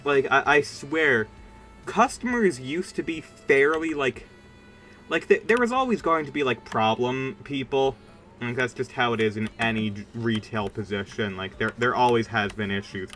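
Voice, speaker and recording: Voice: medium pitch, lively intonation, normal speed, a bit bright, soft background music. A streamer chatting casually during a game livestream in a rambling, informal and emphatic way. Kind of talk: casual livestream chat while gaming.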